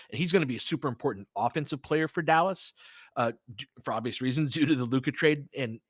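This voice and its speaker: Casting: American male sports host, mid-pitched, conversational and emphatic live analysis, dry studio-like sound. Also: sharp tone, no room sound. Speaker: sports show host and game commentator. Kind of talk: casual live basketball analysis, chatting with listeners.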